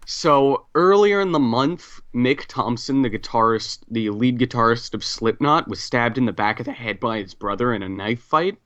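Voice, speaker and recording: A man playing in a tabletop game, chatting casually and haltingly, recorded with a dull, lo-fi sound. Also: medium pitch, expressive, a bit bright, no room sound. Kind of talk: casual chat. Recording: dull, lo-fi dry voice track.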